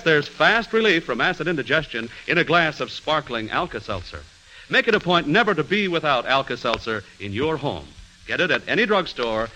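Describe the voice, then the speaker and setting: A man's voice: on the high side, bright, forceful tone, poor audio. A man reading a scripted 1940s radio commercial in an emphatic, polished, salesmanlike way. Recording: vintage radio broadcast, faint noise.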